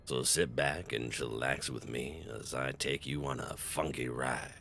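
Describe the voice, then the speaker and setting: A man's voice: extremely low pitch, fairly even intonation, creaky, a bit bright, slight background noise, pitched below the performer's own voice, full character voice. A man putting on a velvety late-night jazz DJ. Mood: smooth, sultry. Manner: slow, sultry crooning delivery. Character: smooth late-night male jazz radio DJ.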